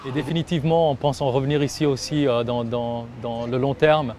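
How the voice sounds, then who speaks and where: A man in his thirties, a game designer, answering interview questions with frequent pauses and repeated words. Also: medium pitch, steady tone, background noise. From Switzerland. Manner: hesitant, repeats words while thinking.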